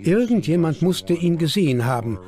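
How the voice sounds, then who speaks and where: An actor voicing a matter-of-fact police investigator in a documentary dub. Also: male voice, on the high side, big pitch swings, moderate pace, mellow, soft background music.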